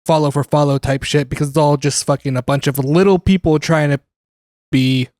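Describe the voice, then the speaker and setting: A man's voice: on the high side, steady tone, brisk, a little mellow, dry recording, studio quality. A man hosting a podcast, talking in a laid-back way and swearing casually.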